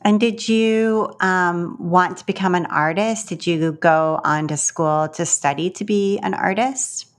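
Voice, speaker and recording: A woman hosting a podcast, reading an introduction and asking the guest clear questions. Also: fairly low pitch, a little slow, hardly any pauses. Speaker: podcast host. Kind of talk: scripted intro then interview questions.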